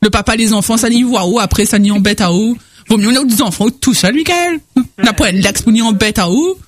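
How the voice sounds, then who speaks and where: Réunion-guy running a radio call-in, really high voice, teasing and chatty, radio sound. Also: a little fast. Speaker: radio host taking calls. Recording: radio broadcast.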